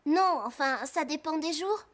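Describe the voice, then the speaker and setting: A chatty teenage girl who loves horses in a cartoon. She speaks very high and animated, eager to share every thought. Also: unhurried. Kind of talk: cartoon dub voice acting.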